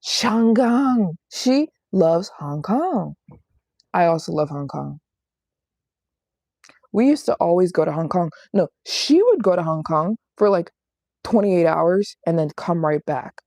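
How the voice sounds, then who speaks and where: A woman's voice: fairly low pitch, lively intonation, takes it slowly, mellow, no echo, studio quality. A woman in her late twenties chatting casually and playfully on a livestream as a travel vlogger, often repeating phrases for emphasis. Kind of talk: casual livestream chat answering viewer questions. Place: small apartment living room in Japan.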